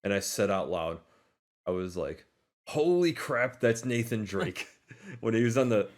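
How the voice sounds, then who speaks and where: A man co-hosting a podcast, chatting casually in an informal, halting, jokey way. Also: lowish voice, animated. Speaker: podcast co-host. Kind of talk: casual chat about movies.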